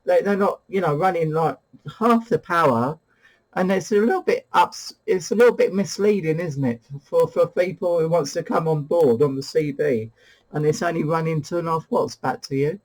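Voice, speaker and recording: A British man with a slightly high voice hosts a casual two-way radio chat. He is talkative and informal, often doubling back and repeating phrases as he goes. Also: normal speed, poor audio. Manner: chatty, hesitant, repeats phrases. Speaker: host of a CB radio review video.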